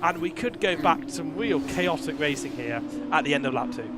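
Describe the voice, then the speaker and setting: A man commentating a sim race, chatty and quick to laugh, his voice worn from a cold. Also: fairly high pitch, lively intonation, very noisy.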